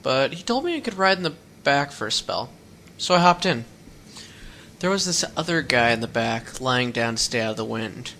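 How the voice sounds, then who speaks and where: Teen runaway boy, guarded but earnest, bright voice, faint hiss behind. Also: mid-range voice. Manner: plain-spoken, rambling storyteller with folksy words. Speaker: hitchhiking runaway. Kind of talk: radio drama acting.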